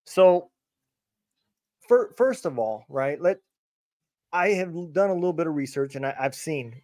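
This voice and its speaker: Male podcast host, halting, dry close sound. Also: a little high, animated, a little mellow, no echo. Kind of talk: casual interview.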